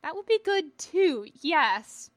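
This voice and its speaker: Woman as resolute male knight, measured and formal. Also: sits high, very animated, no echo, voice pushed up, exaggerated voice. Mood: instructive, dry. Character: principled, resolute male Jedi knight and patient teacher.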